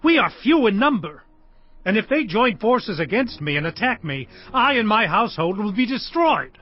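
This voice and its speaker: An actor playing an aging, anxious, commanding patriarch in a dramatized scripture audiobook, speaking emphatically. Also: male voice, sits high, sing-song, normal speed. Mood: angry alarm. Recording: muffled, band-limited audio.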